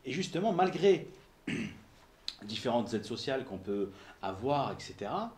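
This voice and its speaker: A man's voice: medium pitch, sing-song, unhurried, darkish tone, echoey room. Immigrant man hosting a casual interview. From abroad, emigrated to France. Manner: informal, uses fillers like "on va dire", hesitant. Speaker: interviewer, podcast host.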